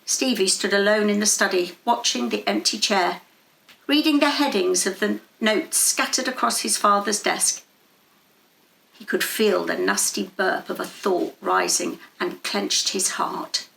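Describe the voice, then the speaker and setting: Woman narrator, literary storytelling, dramatic and measured, mid-pitched, frequent vocal fry. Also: fairly bright tone, reverberant, rough recording. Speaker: story reader.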